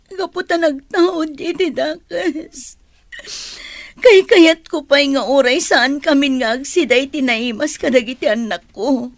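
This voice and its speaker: An anguished young wife, slow and high. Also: female voice, dry recording, studio quality. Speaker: radio drama actress. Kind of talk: Ilocano radio drama acting.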